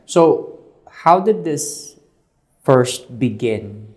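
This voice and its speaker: A man's voice: mid-range voice, very slow. A male interview host with a mid-range voice. He greets viewers with energy, then settles into easy, conversational questions. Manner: upbeat opener, then relaxed questioning. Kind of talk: hosting an interview.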